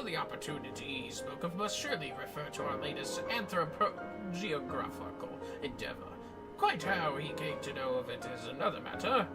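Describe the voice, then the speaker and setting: Man acting grand, wordy fantasy game scholar, swooping intonation, pompous and deliberate, music underneath. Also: fairly high pitch. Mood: measured, grave. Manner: pompous, deliberate, ornate diction. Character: learned, formal archaeologist leading an expedition, grandly wordy. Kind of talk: dramatic reading of fantasy game dialogue.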